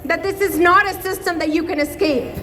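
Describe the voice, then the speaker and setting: A woman's voice: very high voice, steady tone, brisk, music in the background. A woman from Ecuador giving an impassioned, declamatory speech at a street rally. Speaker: protest speaker, activist. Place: outdoor street protest. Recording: outdoor PA with crowd noise. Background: crowd, street noise.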